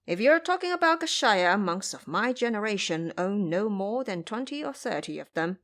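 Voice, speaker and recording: A woman's voice: mid-range voice, sing-song, normal speed, fairly bright tone. A woman playing a sneering, boastful male abbot with a haughty, scoffing manner in an audio drama. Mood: scornful.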